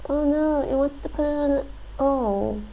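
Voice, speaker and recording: A woman streamer thinking aloud as she works through a lesson, hesitant, with many fillers and restarts, relaxed and self-deprecating. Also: high-pitched, normal speed, muffled recording. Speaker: live streamer. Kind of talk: thinking aloud while doing a language app.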